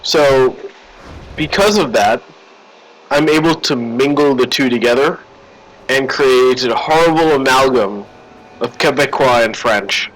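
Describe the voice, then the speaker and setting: A man's voice: mid-range voice, measured pace, fairly bright tone, a little hiss or hum, poor audio. A man casually commenting on a game stream, joking and teasing his chat in a sarcastic tone. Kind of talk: casual live-stream commentary while playing.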